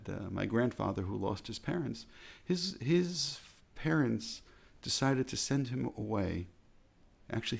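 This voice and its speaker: American guy, rabbi type, gravelly husky voice, slow earnest talk, keeps repeating phrases. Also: fairly low pitch. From United States. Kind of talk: reflective talk.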